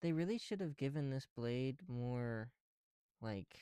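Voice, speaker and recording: Soft, mellow voice muttering casually, very dry sound. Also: mid-range voice, expressive, slow, clear, resonant tone, no room sound. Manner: informal, muttering to self, sweary. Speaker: ASMR video creator. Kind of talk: casual rambling ASMR while building with bricks.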